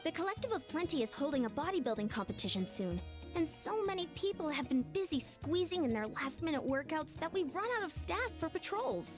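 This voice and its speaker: A woman's voice: high voice, sing-song, a little fast, music in the background. A woman playing a confident, friendly, teasing fitness coach in a video game, upbeat and self-assured. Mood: earnest, confident. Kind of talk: video game character acting.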